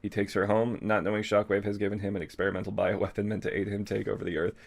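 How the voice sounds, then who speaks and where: A man's voice: lowish voice, level tone, fast, gravelly. A man reading a story aloud in a casual, comedic way, with mocking asides and self-corrections. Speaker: podcast host reading fan fiction aloud.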